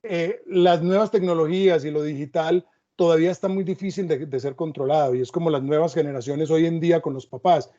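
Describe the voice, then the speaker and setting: A man moderates a panel discussion, turning the talk with reflective remarks and short stories. His voice is high for a man yet soft and mellow. The recording sounds dull and lo-fi. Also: fairly quick, hardly any pauses. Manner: reflective, storytelling asides.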